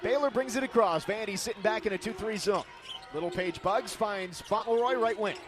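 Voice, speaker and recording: A man calling live sports play-by-play in punchy, crisp announcer phrasing. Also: sits high, a little fast, noisy background.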